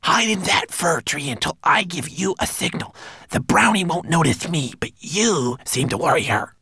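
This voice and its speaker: A man's voice: high voice, animated, normal speed, fairly bright tone, no room sound, voice pushed up. A man voicing a tiny, spindly, nervous and sly mannequin with a fretful, furtive manner in a storybook reading.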